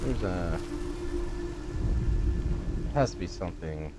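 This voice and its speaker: A man's voice: low-pitched, lively intonation, takes it slowly, loud music behind the voice. A man giving casual let's play commentary, muttering short, musing remarks to himself as he plays. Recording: clear background noise, game ambience.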